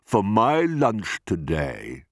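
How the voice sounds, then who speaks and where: A man's voice: lowish voice, huge pitch swings, drawn-out words, a bit bright, dry recording, studio quality, pitched above the performer's own voice, full character voice. A man voicing an enormous, greedy, boastful crocodile who savours his words in a storybook reading. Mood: gloating. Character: enormous greedy boastful male crocodile, sly child-eater.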